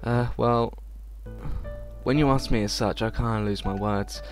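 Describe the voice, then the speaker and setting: A man hosting a let's play, reading the game's script aloud haltingly with occasional asides. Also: medium pitch, fairly even intonation. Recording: game music under the voice. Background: music.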